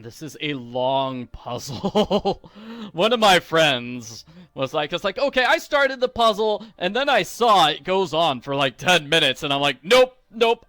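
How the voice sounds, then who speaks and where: A man's voice: a little high, pushed and bright, no echo. A man hosting a video game walkthrough with casual, chatty commentary, recorded close on a mic. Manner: chatty, acts out quoted lines.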